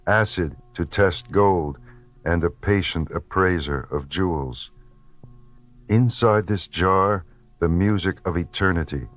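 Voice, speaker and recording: A man reads poetry in a fairly low voice. His pace is very slow and his phrasing deliberate and contemplative. Soft music plays behind him. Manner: measured, deliberate phrasing with pauses. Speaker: poetry reader. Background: soft background music.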